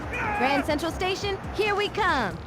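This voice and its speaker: A confident lady zoo animal in a cartoon game. She is high and lively, brisk and sassy, marshalling the group over loud music. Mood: determined. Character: confident, take-charge female zoo animal leading her friends. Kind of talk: video game cartoon voice acting.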